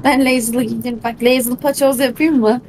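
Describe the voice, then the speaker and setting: A woman's voice: on the high side, fairly even intonation, a little fast, a little mellow. A woman giving casual commentary while playing a video game as a streamer. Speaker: gaming streamer.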